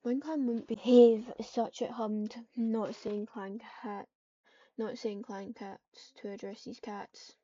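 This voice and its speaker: A woman with a fairly high, mellow voice reads out roleplay lines as she games. She drops into casual, muttered asides to herself. The recording is dull, with some noise, typing and clicks behind her. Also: fairly even intonation, slow pace. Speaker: online game player roleplaying. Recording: dull lo-fi mic, keyboard clatter.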